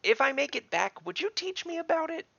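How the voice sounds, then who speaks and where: A man's voice: extremely high pitch, sing-song, brisk, vocal fry, bright, forceful tone, no room sound, lo-fi sound, pitched above the performer's own voice, exaggerated voice. A man voicing a nervous, earnest young female gnome adventurer with a hesitant, stammering delivery in a fantasy story. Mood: tentative, hopeful.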